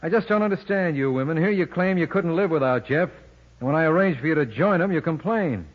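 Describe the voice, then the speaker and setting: A man's voice: a little high, animated, brisk. A man playing a wisecracking, cocky lawyer-sleuth in a glib, sardonic 1950s radio style, on a muffled old recording. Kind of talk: 1950s radio detective drama acting.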